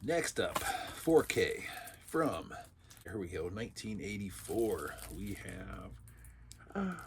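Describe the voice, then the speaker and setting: A man with a low, gravelly voice, thick with congestion because he is under the weather. He rambles casually through an unboxing and drops dry little asides. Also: big pitch swings, slow pace, noisy background. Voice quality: raspy. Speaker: vlog host.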